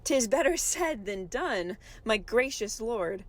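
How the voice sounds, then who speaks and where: A woman's voice: on the high side, animated, takes it slowly. An actress playing a proud, wary widowed mother petitioning a king, polite but firm in theatrical Shakespearean dialogue. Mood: guarded refusal.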